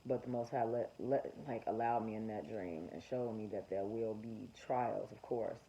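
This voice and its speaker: Gravelly man rambling in a monologue. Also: lowish voice, normal speed, warm tone. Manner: rambling, frequent fillers and restarts. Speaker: vlogger sharing religious dreams. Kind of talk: unscripted spoken monologue. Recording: muffled lo-fi recording with faint hiss.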